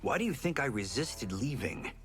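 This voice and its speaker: An actor playing an earnest, concerned warrior in a video game, speaking sincerely and rather formally. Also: male voice, mid-range voice, very animated, normal speed, a bit bright. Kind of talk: video game character dialogue. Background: game music.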